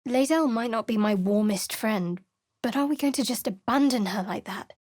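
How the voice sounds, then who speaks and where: A guarded young priestess of a dark goddess, voiced by a woman for a video game, cool and wry with a fairly high voice. Her prayers come out as hushed whispers. Recorded close in a dead-dry studio. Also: normal speed, no echo, natural voice. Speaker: game companion character. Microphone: close studio mic.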